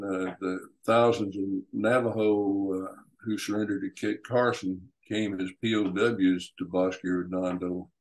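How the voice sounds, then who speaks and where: Man, author guest, lowish pitch, very slow pace, halting, deliberate, hesitant pauses, unscripted historical storytelling. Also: low-quality recording.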